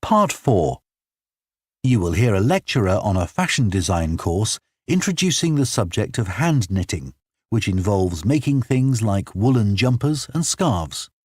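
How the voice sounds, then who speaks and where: Male announcer, husky, lively intonation, formal instructional delivery, scripted exam narration. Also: fairly low pitch, dry recording, clean studio sound.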